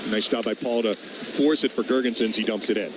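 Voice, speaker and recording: A man's voice: fairly low pitch, a little fast, noisy background. A man doing live radio play-by-play of ice hockey in short, clipped running calls.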